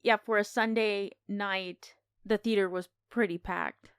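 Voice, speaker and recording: A woman's voice: medium pitch, fairly even intonation, measured pace, smooth, no room sound, clean studio sound. A woman co-hosting a podcast, talking casually as she gives her review of a film.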